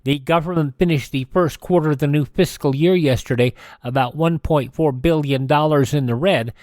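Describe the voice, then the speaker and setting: An American man hosting a radio show, reading news items in a measured way with occasional stumbles and self-corrections. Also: a little high, a little mellow, no echo.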